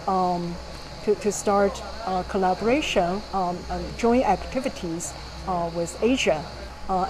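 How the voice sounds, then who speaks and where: A middle-aged woman physics professor being interviewed, speaking in a measured, explanatory way with frequent fillers. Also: mid-range voice. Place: indoors by a whiteboard. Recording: noisy background.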